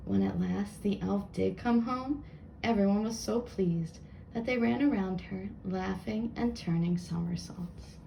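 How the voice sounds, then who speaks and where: A woman reading a children's book aloud with expressive narration. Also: mid-range voice, measured pace, creaky, background noise.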